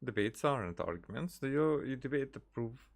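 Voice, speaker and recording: A man's voice: mid-range voice, animated, normal speed, creaky, a little hiss or hum. Casual man commenting on a game. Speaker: gamer, let's player. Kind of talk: casual game playthrough commentary, reading dialogue choices. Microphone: close microphone.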